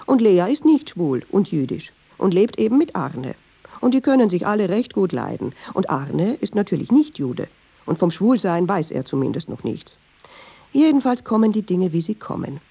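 A chatty, sardonic woman telling a story in a radio play. Her voice is husky and creaky, with lively swings in pitch. She digresses freely in colloquial speech and is quick to take offence. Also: lo-fi sound, exaggerated voice. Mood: wry, chatty. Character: talkative, wry woman storyteller, easily offended.

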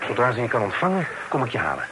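An actor playing an earnest gentleman in a period radio drama. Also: mid-range voice, wildly swooping pitch, very fast, heavy background noise. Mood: calming, grave.

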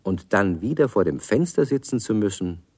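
Narrator: deep voice, measured wry storytelling for children's radio drama, close dry studio sound. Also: male voice, lively intonation, darkish tone, husky, no echo. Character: wry, unhurried male storyteller.

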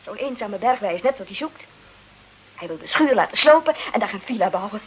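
A woman's voice: mid-range voice, animated, fairly quick, slightly rough. An actress playing an emotional, stubborn Italian village wife and mother in a Dutch radio drama. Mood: insistent, defiant. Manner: emotional, pleading, insistent. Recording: dull, lo-fi old radio play recording.

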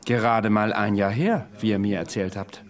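An actor playing a wry, ancient immortal man recounting his past in a measured way, in a radio drama. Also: on the low side, steady tone, natural voice. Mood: reasoning.

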